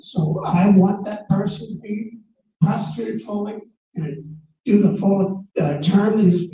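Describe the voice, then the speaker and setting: High-pitched guy giving a firm forum answer, dark sound from far off, muffled. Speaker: district attorney candidate. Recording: echoey hall, distant muffled mic.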